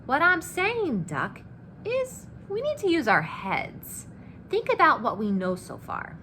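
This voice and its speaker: Woman reader as a brash male giant killer from a children's book, breezy and swaggering, swooping pitch, noisy room. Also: wildly swooping pitch, slow, sharp tone, exaggerated voice. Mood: scheming, excited. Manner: boastful, breezy, persuasive. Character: cocky, greedy, scheming man, a storybook giant killer. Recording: clear background noise.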